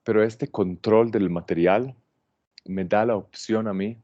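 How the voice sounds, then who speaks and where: A man in his forties from Israel with an Israeli accent, giving a calm, halting introduction to an online plant workshop, with many fillers. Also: on the low side, no echo. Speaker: landscape designer teaching a plant workshop. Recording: dull, dry computer-mic sound.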